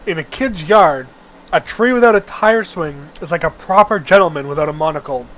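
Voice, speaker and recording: High-voiced young man reading aloud theatrically. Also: normal speed, a bit bright, low-quality recording. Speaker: reader of a webcomic read-aloud. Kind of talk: amateur dramatic read-aloud narration.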